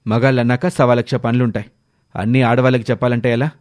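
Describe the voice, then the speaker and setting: A man voicing a kindly, guilt-ridden uncle and henpecked husband in an animated moral story. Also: medium pitch, fast talker, natural voice. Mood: evasive, irritated.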